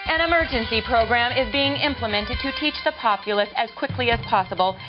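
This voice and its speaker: An actress playing a polished, flippant American TV news anchor in a mock game newscast, with a deadpan delivery. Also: female voice, on the high side, a little fast, lightly acted. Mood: deadpan, brisk. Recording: dull lo-fi audio under music. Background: music.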